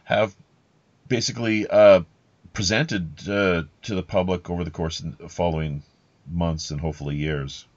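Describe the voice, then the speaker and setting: A man hosting a podcast interview, talking casually in a wordy, halting, roundabout way. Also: deep voice, steady tone, a little mellow, dry recording. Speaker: podcast host interviewing a guest. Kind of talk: casual rambling interview talk. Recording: slightly dull, muffled lo-fi audio.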